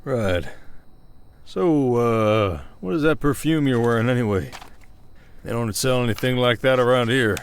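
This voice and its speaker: A man's voice: mid-range voice, a little slow, slight background noise, natural voice. Man acting a folksy Old West werewolf sheriff, drawling. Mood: casual, gruff. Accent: American Southern, Old West drawl. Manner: folksy, hesitant, teasing, drawling. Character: folksy werewolf sheriff of an Old West town, long-lived but young-faced. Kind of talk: roleplay audio acting, ASMR. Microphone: close studio mic, dry.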